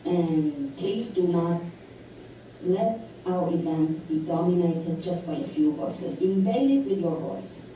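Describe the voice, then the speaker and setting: A woman reads her poetry slowly and deliberately, in a mellow, low voice. The sound is roomy and distant, as if caught from a hall microphone. A soft hum of room noise sits underneath. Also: lively intonation. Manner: measured, deliberate recitation. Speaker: poet at a public reading. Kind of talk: slow recited poetry reading. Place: lecture hall. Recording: echoey hall, distant mic.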